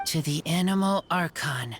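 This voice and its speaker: A woman playing an earnest, shy teenage boy in a video game, speaking in halting, simple, terse phrases. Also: deep voice, very noisy, natural voice. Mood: gentle. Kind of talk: video game character acting.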